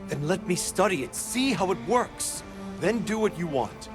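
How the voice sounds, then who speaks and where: A man's voice: high-pitched, expressive, normal speed, music in the background. A man playing a brash, cynical scholar obsessed with innovation in a video game cutscene. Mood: scornful, insistent.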